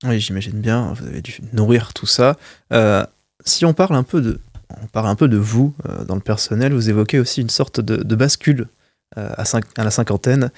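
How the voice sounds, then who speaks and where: A man's voice: lowish voice, a little fast, darkish tone, dry recording. A man hosting a radio interview, asking questions in a friendly, joking way. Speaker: radio host.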